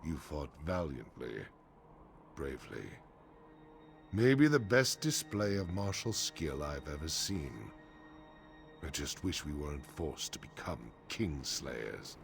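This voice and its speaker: Deep, gravelly, brooding orc chief. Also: male voice, very animated, slow, over music, heavily acted. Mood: grim, sorrowful. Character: weary, solemn male orc chief, gloomy and honourable. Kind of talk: fantasy game dialogue.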